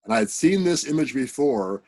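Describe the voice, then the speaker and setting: A man's voice: medium pitch, expressive, normal speed, a little mellow. A man on a video call earnestly telling a personal spiritual story in a plain, direct way. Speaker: participant sharing a story.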